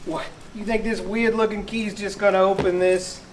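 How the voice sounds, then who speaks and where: A man's voice: high voice, flat delivery, moderate pace, background noise, lightly acted. A man acting a skeptical, wisecracking police detective in an amateur live radio play. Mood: puzzled. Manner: dismissive, wry.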